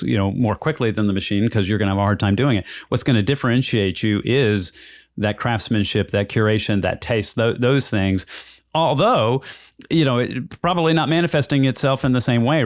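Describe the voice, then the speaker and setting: A man's voice: medium pitch, no room sound, clean studio sound. A man hosting a conversational podcast interview, explaining through extended analogies and checking in with rhetorical questions. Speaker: podcast host interviewing a guest.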